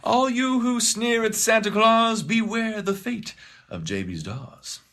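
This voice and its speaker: A man's voice: sits high, lively intonation, unhurried, a bit bright. A man narrating a rhyming storybook poem in a dramatic, theatrical manner. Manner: dramatic, swooping delivery.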